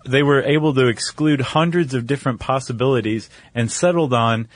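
A man's voice: medium pitch, brisk, no room sound. A man co-hosting a podcast, explaining things in a casual, conversational way with little check-in questions. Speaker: podcast co-host.

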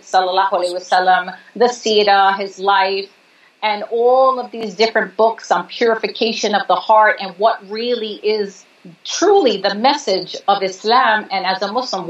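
Female interview guest from New York, earnest and explanatory, mid-pitched, somewhat dull recording. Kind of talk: conversational interview. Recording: slightly dull audio.

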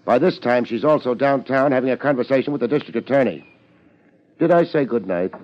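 An actor playing a laid-back, wisecracking private detective in a 1950s radio drama, with a wry, deadpan manner. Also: male voice, medium pitch, fairly quick, close to an everyday voice. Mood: dry, firm. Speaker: lead actor and narrator. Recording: muffled old radio recording with music.

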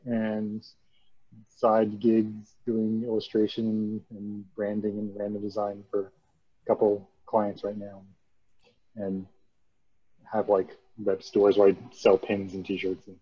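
Chill new jersey guy, slow and husky, muffled lo-fi call sound. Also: on the low side, level tone, warm tone, no room sound. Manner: laid-back, meandering. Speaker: illustrator and designer. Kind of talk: casual chat. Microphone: video call. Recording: dull lo-fi call audio.

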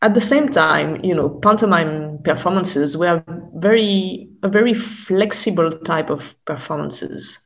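Hesitant woman academic, gravelly creak. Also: lowish voice. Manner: halting, repeats words while searching for phrasing. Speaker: academic expert guest. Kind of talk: explanatory interview conversation.

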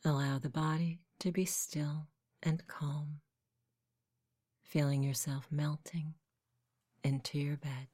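A woman guiding a sleep meditation in a soft, whispery, husky voice, gentle and unhurried. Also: deep voice, flat delivery. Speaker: meditation guide. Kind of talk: guided sleep meditation, slow soothing narration.

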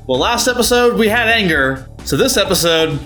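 A man's voice: high voice, lively intonation, normal speed, sharp tone, soft background music. A man co-hosting a podcast, moving between scripted introductions and casual chat with a theatrical, announcer-like delivery. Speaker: podcast co-host.

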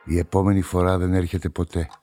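Guy playing brooding noir-ish radio play narrator, really deep voice, slow-burn reflective, music going behind him. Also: flat delivery, mellow, lightly acted. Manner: measured, reflective. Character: brooding, lovelorn male narrator of a crime story. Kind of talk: scripted first-person radio drama narration.

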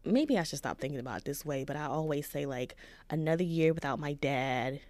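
A woman in her thirties co-hosting a podcast in casual chat, soft-spoken and reflective. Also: low voice, lively intonation, a little fast, dry recording. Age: 30s. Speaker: podcast co-host.

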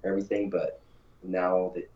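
Male guest with a cold, very low, raspy and froggy, relaxed; echoey room. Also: moderate pace. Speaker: podcast guest, company founder. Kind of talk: casual interview chat. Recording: echoey, dull audio.

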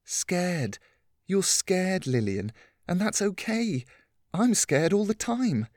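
A man reading a story, voicing a caring adult woman who talks softly and patiently. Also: high-pitched, very animated, slow pace, dry recording, studio quality, pitched above the performer's own voice, heavily acted. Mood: soft reassuring. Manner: soft, patient, comforting. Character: gentle caring adult woman, calm and reassuring.

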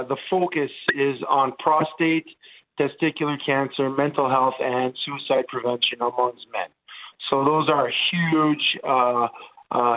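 A man in his forties from Montreal, whose first language is Italian, chatting on the radio with lots of fillers. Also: mid-range voice. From Montreal, Canada. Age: 40s. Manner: chatty, rambling, many fillers. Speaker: radio guest, former football player. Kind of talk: casual radio chat. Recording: tinny phone line.

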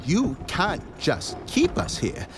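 A man's voice: high voice, wildly swooping pitch, takes it slowly, creaky, noisy background, exaggerated voice. A man playing an anxious, deferential reverend and father in a fantasy audio drama, speaking politely and earnestly. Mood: anxious, pleading.

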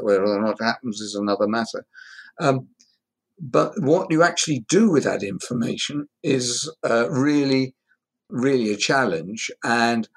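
Male guest, measured, thoughtful, pausing delivery. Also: mid-range voice, low-quality recording. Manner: measured, thoughtful, pauses with fillers. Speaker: hospitality industry guest expert. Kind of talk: conversational interview.

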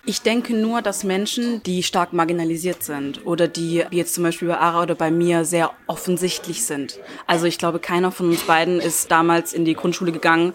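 A woman's voice: lowish voice, steady tone, a little fast, hardly any pauses. A woman in her twenties from near Stuttgart, a psychology student, answering interview questions thoughtfully, with some restarts. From Germany, near Stuttgart. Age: 20s.

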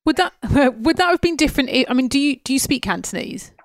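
A woman's voice: high voice, animated, fast, dry recording, clean studio sound. A woman interviewing a guest, asking eager, stumbling questions. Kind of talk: conversational interview.

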